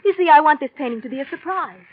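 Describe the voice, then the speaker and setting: A woman's voice: sits high, expressive, fast. An actress playing a seductive, insistent society woman in 1940s radio drama style, coaxing and intimate. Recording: dull, noisy 1940s radio recording.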